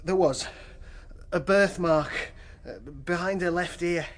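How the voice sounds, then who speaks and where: A man's voice: high-pitched, takes it slowly. A man playing a distraught, grief-stricken widower giving tearful testimony in a radio drama. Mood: anguished, tearful. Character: grief-stricken, broken widower in the witness box. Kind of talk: radio drama courtroom acting.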